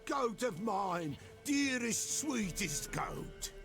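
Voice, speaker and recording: An actor playing an eccentric, superstitious folk seer in a fantasy game, speaking in archaic riddles. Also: male voice, sits high, sing-song, drawn-out words, gravelly, heavily acted. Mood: doting. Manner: archaic riddling speech, refers to himself in third person. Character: eccentric superstitious folk seer, adult man, excitable and cryptic. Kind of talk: theatrical fantasy game acting. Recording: music behind the voice.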